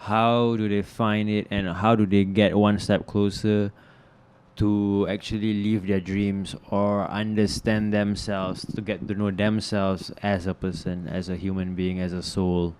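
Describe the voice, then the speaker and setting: A man hosting a casual interview, relaxed and chatty, asking long questions. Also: fairly low pitch, a little slow, slight background noise. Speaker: interview host.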